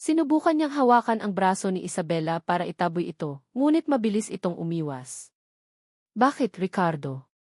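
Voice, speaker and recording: A woman's voice: medium pitch, sing-song, fairly quick, darkish tone, no echo, studio quality. A woman reading a scripted romance story as a dramatic narrator.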